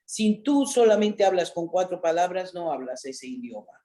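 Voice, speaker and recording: A middle-aged woman with a Mexican accent, an actress chatting as an interview guest, telling stories in an animated way and often adding a questioning "¿no?". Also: lowish voice, moderate pace. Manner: animated storyteller, frequent "¿no?" tags. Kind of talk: casual interview chat.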